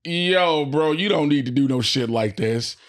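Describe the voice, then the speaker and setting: An American man bantering casually as a podcast co-host, animated and profane, with plenty of slang. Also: fairly high pitch, measured pace.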